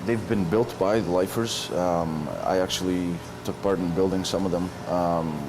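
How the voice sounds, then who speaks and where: A man, an inmate chairing a lifers group, answering interview questions haltingly with many pauses and restarts. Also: low-pitched, fairly even intonation, noisy background.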